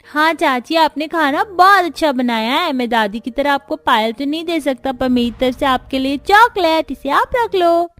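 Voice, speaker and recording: A woman's voice: high-pitched, animated, moderate pace, few pauses, a bit bright. A woman voicing a sweet, polite little boy in a cartoon. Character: sweet polite young child nephew.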